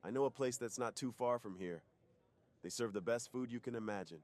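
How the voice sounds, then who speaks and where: A man's voice: medium pitch, brisk, a little mellow, natural voice. A man playing a weary, secretive superhero on a date, earnest and confiding, in an intimate ASMR roleplay. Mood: warm, open.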